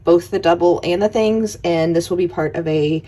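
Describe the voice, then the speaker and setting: A young woman with a hoarse voice, recovering from an illness, chatting casually in a reading wrap-up vlog and counting dice rolls aloud at home at night. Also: on the low side, moderate pace, warm tone. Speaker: book vlogger.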